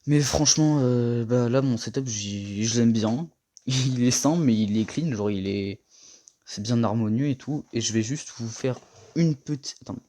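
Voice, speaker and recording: Rambling young man with a mellow voice. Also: mid-range voice, measured pace. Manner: rambling, slangy and self-mocking. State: slightly hoarse from a cold. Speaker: gaming vlogger. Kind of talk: casual setup tour.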